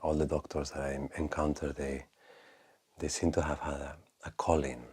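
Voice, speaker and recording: Very deep-voiced, husky male interviewer. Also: flat delivery. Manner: halting, searching for words, with soft asides. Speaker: podcast host. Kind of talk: casual interview questions.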